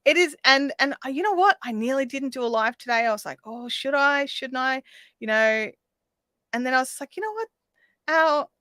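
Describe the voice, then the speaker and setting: Chatty woman, bright and dry. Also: high voice, no echo. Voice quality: slightly raspy today. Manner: chatty, reads viewer comments aloud, counts stitches under her breath. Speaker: craft livestream host. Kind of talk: casual chat while crocheting. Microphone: desk microphone.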